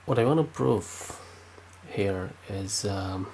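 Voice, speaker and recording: Man, Romanian accent, non-native English, low-ish pitch, slow halting delivery, faint background hiss. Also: a little mellow. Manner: hesitant, many fillers and restarts. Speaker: tech video maker. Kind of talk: unscripted desk demonstration.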